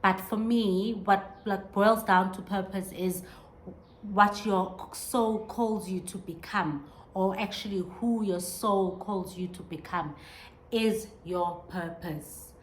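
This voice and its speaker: A woman's voice: medium pitch, fairly even intonation, takes it slowly, slight background noise. A woman in her thirties hosting a podcast, talking earnestly and reflectively on her own, with many restarts as she searches for words. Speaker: podcast host. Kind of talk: reflective solo monologue.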